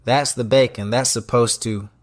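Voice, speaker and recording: A man's voice: medium pitch, fairly even intonation, normal speed, no echo, lightly acted. A man playing a commanding restaurant boss in an audio drama, with a clipped, dryly funny delivery. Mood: dry, wry. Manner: commanding, clipped, dry humour. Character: brisk, wry male restaurant boss running a tight ship.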